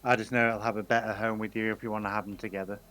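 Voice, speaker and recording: A man's voice: on the low side, level tone, rapid-fire, a bit bright, faint music behind. A man chatting and teasing his co-host casually on a live stream. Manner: teasing, joking.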